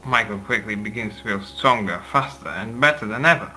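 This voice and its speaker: A man's voice: lowish voice, brisk, sharp tone, rough recording. A man reading scripted narration of a fantasy action story as its narrator. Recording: clear background noise and hum.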